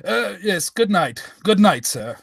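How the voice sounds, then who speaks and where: A woman playing a loyal, polite, hesitant gentleman companion who stammers, in an old-time radio drama spoof. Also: medium pitch, very animated, measured pace, gravelly, heavy background noise.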